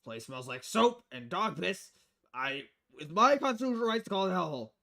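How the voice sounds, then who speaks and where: A man's voice: sits high, big pitch swings, brisk, creaky, bright, forceful tone, voice pushed up, full character voice. A man voicing a crass, cynical, money-loving newspaper editor in a visual novel, brash and foul-mouthed in manner. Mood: gruff.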